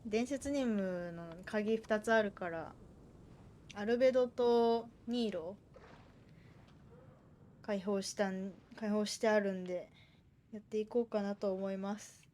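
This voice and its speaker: A woman's voice: mid-range voice, normal speed, pauses often, mellow, slight background noise. A woman livestreaming a game, chatting casually and reacting aloud as she plays. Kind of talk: casual game stream chat. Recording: game music behind. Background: video game music.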